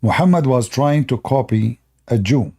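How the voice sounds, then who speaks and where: A man's voice: mid-range voice, expressive, moderate pace, dark tone. A man whose first language is Arabic, explaining word origins in unscripted talk, hesitant with many fillers and restarts. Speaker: religious commentator.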